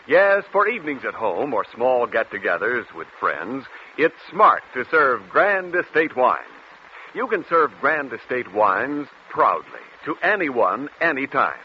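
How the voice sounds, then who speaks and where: A man's voice: medium pitch, big pitch swings, measured pace. A man reading a radio commercial as an announcer in polished 1940s advertising style. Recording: muffled, noisy 1940s radio recording.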